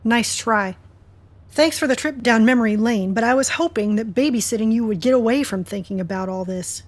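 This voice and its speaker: A woman's voice: fairly high pitch, a little fast, lightly acted. A woman acting in an audio drama as a defiant, sarcastic woman with a teasing, flirty streak. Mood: wry, guarded. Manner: sardonic, teasing.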